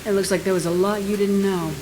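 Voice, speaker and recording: A performer playing a steady military commander, speaking calm and clipped. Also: mid-range voice, fast talker, noisy background, close to an everyday voice.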